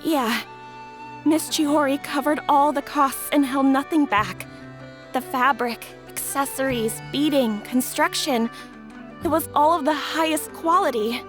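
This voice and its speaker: Earnest, high-voiced young bride-to-be. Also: female voice, measured pace, faint music behind. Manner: earnest, gentle, hesitant storytelling. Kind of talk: video game character acting.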